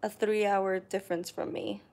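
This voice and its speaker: A woman's voice: medium pitch, level tone, moderate pace, darkish tone. A young woman from New York chatting casually on a live stream, hesitant with many ums, in her living room. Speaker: vlogger reading live chat comments. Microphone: camera on a tripod.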